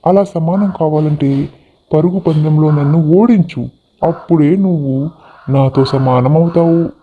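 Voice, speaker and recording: A man voicing a proud, boastful racehorse in a scripted animated moral story, speaking in a haughty, lecturing way. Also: fairly high pitch, unhurried, phone-quality sound, slight background noise, close to an everyday voice. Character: proud, boastful male racehorse who looks down on others.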